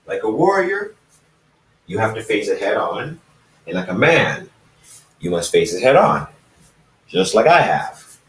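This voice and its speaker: A man's voice: lowish voice, very animated, measured pace, long pauses, a bit bright. A man voicing a blunt, probing, ancient immortal companion in a story, emphatic and repetitive. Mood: stern advice. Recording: roomy echo, distant microphone.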